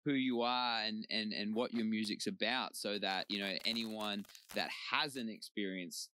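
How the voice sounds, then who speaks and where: A man's voice: fairly low pitch, steady tone, unhurried, pushed and bright, slight background noise. Upbeat Australian man hosting an interview. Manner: enthusiastic, hypey, lots of fillers.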